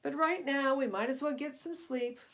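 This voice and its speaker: A woman voicing a gruff, commanding male sailing ship captain from a storybook, growling in salty sailor talk. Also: high voice, moderate pace, a bit bright, pitched above the performer's own voice. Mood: resigned.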